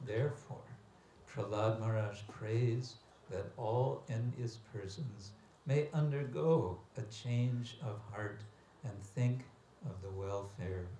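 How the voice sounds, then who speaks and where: A man giving a scriptural lecture as a religious teacher, reading aloud and commenting with deliberate, emphatic phrasing and pauses. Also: medium pitch, warm tone. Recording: echoey room with background hiss and ambience.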